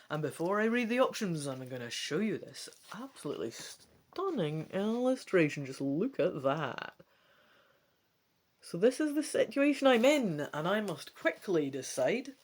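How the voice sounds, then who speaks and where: A woman hosting a gamebook let's play, switching between casual, chatty asides and dramatic reading aloud. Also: mid-range voice. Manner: chatty, animated, self-questioning asides.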